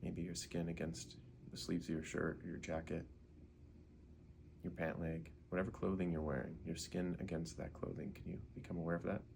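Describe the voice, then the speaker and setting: A man leading a guided meditation in an unhurried way, gently asking questions and leaving pauses. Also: low-pitched, little pitch movement, vocal fry, slight background noise.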